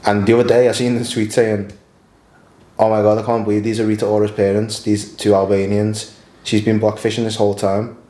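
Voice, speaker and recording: A man's voice: lowish voice, little pitch movement, brisk, warm tone, slight background noise. A British man in a casual group discussion, blunt and slangy, speaking dismissively. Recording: roomy echo.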